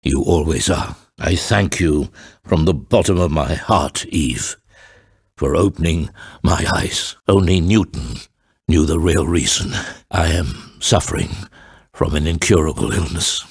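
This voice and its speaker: An actor playing a grave, theatrical middle-aged father and storyteller in a video game, speaking in a deliberate, formal and grandiose way with a gravelly, husky voice. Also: a bass voice, dry recording, studio quality, full character voice. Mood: weary, solemn. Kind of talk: dramatic video game voice acting.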